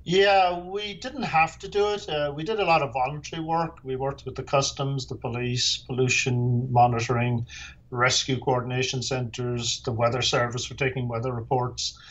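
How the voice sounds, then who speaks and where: A man's voice: mid-range voice, steady tone, moderate pace, fairly bright tone, highs cut off. Middle-aged Irish man, matter-of-fact. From Ireland. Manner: plain, matter-of-fact recounting with dry humor. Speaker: guest, former lighthouse keeper. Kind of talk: podcast interview answers.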